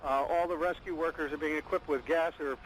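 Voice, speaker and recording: Male field reporter, fairly high voice, hesitant eyewitness account, noisy phone report. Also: steady tone, brisk. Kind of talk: live eyewitness news report.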